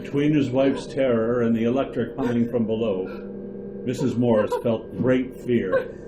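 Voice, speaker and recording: A man's voice: medium pitch, fairly even intonation, moderate pace. A man narrating a suspense radio drama in a dramatic, scripted storytelling style. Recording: noisy amateur radio drama recording.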